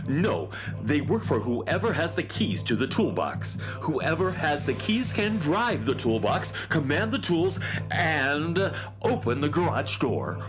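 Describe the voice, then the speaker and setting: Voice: mid-range voice, sing-song, unhurried, music in the background. An actor playing a pompous, polite, brainy male space handyman in a children's radio drama, speaking formally. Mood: diplomatic, formal.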